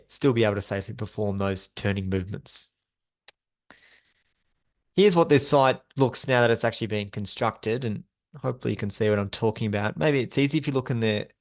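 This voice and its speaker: A man's voice: lowish voice, creaky. Male instructor explaining in an informal way. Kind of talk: presenting a training webinar with slides.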